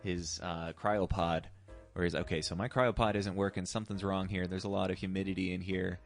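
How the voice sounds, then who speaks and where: A man chatting casually as a podcast co-host, retelling a plot haltingly with some swearing. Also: sits low, little pitch movement, gravelly, faint music behind. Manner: hesitant, halting, profane.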